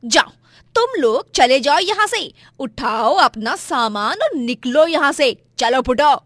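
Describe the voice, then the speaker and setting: Woman doing a nasty domineering daughter in law, high pitched, taunting and yelling, story video drama. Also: sing-song, slow, dry recording, studio quality, full character voice. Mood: furious scolding. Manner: scolding, taunting, forceful. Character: spiteful, shrill elder daughter-in-law, quarrelsome and domineering. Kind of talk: melodramatic moral-story dialogue.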